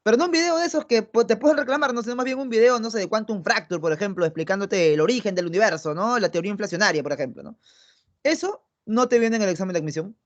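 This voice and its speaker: A young man teaching philosophy in an online class. He talks to his students chattily and affectionately, tagging his phrases with quick checks like "¿no?" and "¿ya?". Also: high-pitched. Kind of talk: online pre-university lecture. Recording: muffled lo-fi audio.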